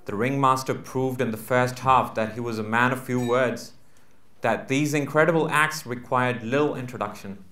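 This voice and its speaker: Guy reading a short story out loud at a live book event, medium pitch, pretty echoey room. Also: fairly even intonation, normal speed. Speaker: reader at a book launch. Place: live book launch venue. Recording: roomy, echoing live venue recording.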